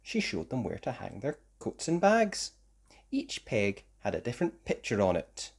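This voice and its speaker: Animated storybook reader with very lively pitch. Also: normal speed, breathy edge. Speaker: children's story reader, host. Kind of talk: expressive read-aloud storybook narration.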